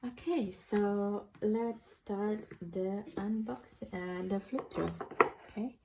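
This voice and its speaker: A woman with an Indonesian accent talks slowly through a tarot deck in a casual, halting way. Her voice is fairly low with a gravelly fry, and there is faint background noise. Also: fairly even intonation, warm tone, low-quality recording. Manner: hesitant, halting, searching for words. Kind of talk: casual unboxing and flip-through commentary.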